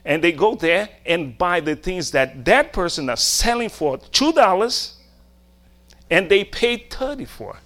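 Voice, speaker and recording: A middle-aged Brazilian preacher delivers a sermon in a slightly high male voice. He takes his time, breaking his thoughts into short emphatic phrases and putting questions to his listeners in a Brazilian accent. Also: very animated, unhurried, a bit bright. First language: Portuguese.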